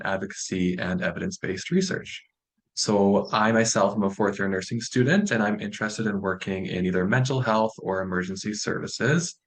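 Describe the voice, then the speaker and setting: A man's voice: lowish voice, low-quality recording. A young man with a Canadian accent giving an informal online presentation as a student presenter, in a friendly, reassuring and encouraging way. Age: young adult.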